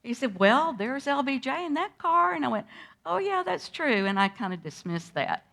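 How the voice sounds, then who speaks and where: An older woman guest, telling a vivid personal story to schoolchildren in an animated way. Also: high-pitched, normal speed, creaky. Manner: lively, animated storyteller who acts out moments. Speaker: interview guest recalling a historic day.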